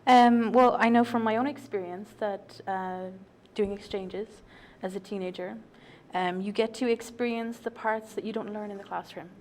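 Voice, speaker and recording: An Irish teacher in her thirties speaking in a measured, polite way, in a small TV studio. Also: mid-range voice, fairly even intonation, darkish tone. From Ireland. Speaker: teacher leading an exchange trip.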